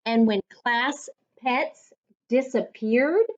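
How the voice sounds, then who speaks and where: A woman with a fairly high voice reads a storybook to children very slowly. Her delivery is deliberate and instructive, like a teacher's. The recording is dull and lo-fi. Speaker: reading coach. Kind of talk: read-aloud storytime for children. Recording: dull lo-fi sound.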